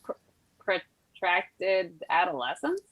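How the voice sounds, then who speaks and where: A woman playing a hopeful young woman frustrated with her boyfriend, earnest and expressive, in a musical theatre read-through. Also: on the low side, slow, heavily acted, low-quality recording. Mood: puzzled. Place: recorded remotely online.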